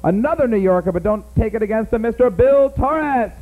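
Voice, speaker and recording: A man hosting a comedy night, loudly hyping up the next comic. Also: extremely high pitch, lively intonation, fairly quick. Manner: loud, hyped-up announcing. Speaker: show host. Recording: tinny, from far off the mic.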